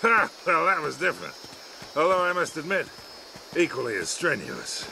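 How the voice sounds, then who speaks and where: Roguish older American, animated delivery. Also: male voice, fairly high pitch, normal speed, gravelly, a little hiss or hum, heavily acted, rough recording. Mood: weary, amused. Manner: sardonic, flirtatious. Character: roguish, worldly older male fortune hunter. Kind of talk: cinematic video game acting. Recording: music under the voice.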